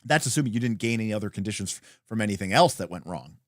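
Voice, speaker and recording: A man hosting a read-along, reading a game rulebook aloud in an emphatic, deliberate way with brief asides. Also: fairly low pitch, expressive, no echo, clean studio sound. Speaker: host.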